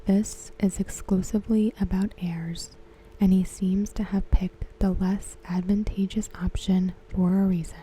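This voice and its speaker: A woman giving ASMR commentary in a soft, breathy whisper, hushed and measured. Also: mid-range voice, flat delivery, low-quality recording. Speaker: ASMR host reviewing a TV episode. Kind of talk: ASMR commentary, softly spoken.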